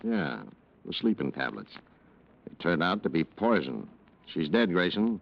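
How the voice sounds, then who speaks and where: An actor playing a dry, probing police lieutenant in 1940s radio noir style, deliberate and understated. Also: male voice, sits low, very animated, gravelly, exaggerated voice. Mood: quietly accusing. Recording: dull vintage radio recording.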